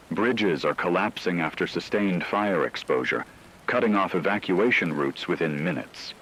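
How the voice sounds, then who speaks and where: A man playing a grave radio news anchor, delivering formal, deliberate scripted disaster reports over rain-like noise. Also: low voice, fairly bright tone, heavily acted, low-quality recording. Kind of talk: scripted dramatic news narration.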